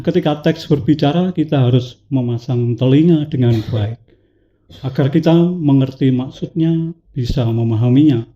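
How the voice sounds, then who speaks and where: Man, has a cough, reads a prepared poetic talk, slow and measured, slightly dull recording. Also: medium pitch, dark tone. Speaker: speaker at a talk event.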